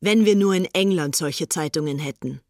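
A woman's voice: sits low, moderate pace, darkish tone, no echo, studio quality, lightly acted. A woman playing a fanatical, haughty young upper-class English fascist in a dramatized reading of letters. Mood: zealous.

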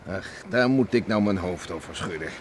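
A man's voice: sits low, normal speed, lightly acted. An actor playing a hearty, teasing older father and horseman in a Dutch radio drama. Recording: noisy archive radio play recording.

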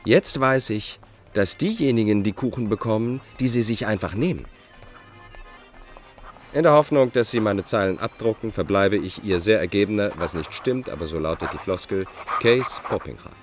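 A man acting a self-absorbed fugitive in his forties in a radio drama. His low voice moves freely between brooding and bold defiance, theatrical and deliberate, with faint music behind. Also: expressive, natural voice. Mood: defiant. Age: 40s. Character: defiant, self-absorbed forty-year-old male fugitive, bored no more. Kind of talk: radio drama acting, interior monologue and dialogue.

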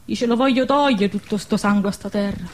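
A woman's voice: medium pitch, brisk, natural voice. An actress playing a defiant, pregnant young village woman in a radio drama, anguished and impassioned, with a southern Lazio dialect. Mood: resolute, impassioned. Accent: southern Lazio Italian dialect.

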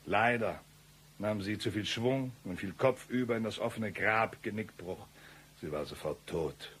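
An actor in a comic radio play, playing an excitable, blustering gunman out for revenge, with a theatrical, rough delivery. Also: fairly low pitch, unhurried. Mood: grimly dramatic. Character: excitable male gunman avenging his brothers, melodramatic and absurd.

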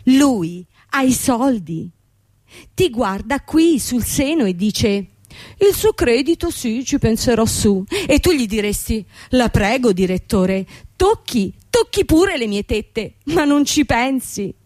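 Bitter young boss, mellow-voiced. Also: female voice, fairly high pitch, sing-song, takes it slowly, dry recording. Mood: dismissive, provocative. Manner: theatrical, dramatic delivery with repetition. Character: young bankrupt company owner, woman, bitter, proud, vain. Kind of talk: radio drama acting.

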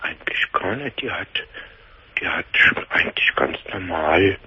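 A man in his forties with a Palatinate German accent, shaken and in shock. His voice is very low and husky, and he breaks off often and starts his sentences again. The sound is dull and lo-fi, like a caller on the line. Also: animated, no echo. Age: 40s. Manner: halting, dazed, hesitant with many restarts. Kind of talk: late-night radio call-in. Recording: dull lo-fi phone-in audio.

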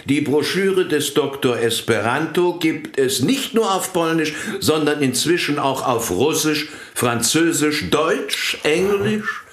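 An actor playing a calm, diplomatic church official in a radio play. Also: male voice, a little high, reverberant. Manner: measured, deliberate.

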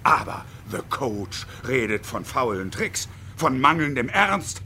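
A man's voice: mid-range voice, sing-song, takes it slowly, sharp tone, a little hiss or hum, full character voice. Agitated, sarcastic man in his fifties. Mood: bitter, sarcastic. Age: 50s. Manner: agitated, biting, bitterly ironic. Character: desperate, sarcastic unemployed engineer in his fifties. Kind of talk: radio drama acting.

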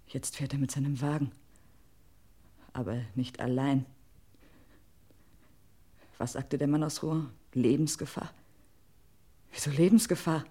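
Radio crime play: betrayed wife in denial, lowish breathy voice, theatrical, broken phrasing. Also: female voice, dark tone, heavily acted. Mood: doubting, fearful. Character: distraught, suspicious wife clinging to denial. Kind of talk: mid-century German radio crime drama acting.

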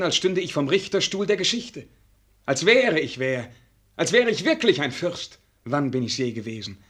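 An actor playing a brooding, self-doubting prince in a German radio play, husky, reflective and stiffly formal. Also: male voice, a little high, a bit bright, heavily acted. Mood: bitter self-doubt.

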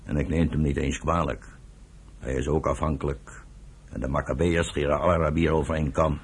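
An actor playing a stern, embittered elderly father and political leader in a radio drama, speaking gravely and deliberately. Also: a bass voice, steady tone, a little hiss or hum. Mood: grave, concerned. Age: older.

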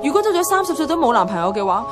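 A woman's voice: high-pitched, very animated, rapid-fire, loud music behind the voice. A woman playing an anxious, defensive single office worker in a Hong Kong Cantonese radio drama, speaking in an emphatic, plaintive way. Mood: distraught, pleading. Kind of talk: radio drama dialogue with narration.

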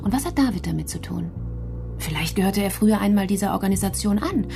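An actress playing a sharp female prosecutor in a radio play, probing and sarcastic as she argues with herself. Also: medium pitch, animated, fast, gravelly. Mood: probing, agitated. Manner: probing, sarcastic inner monologue. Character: sharp, self-questioning female public prosecutor, adult. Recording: music behind the voice.

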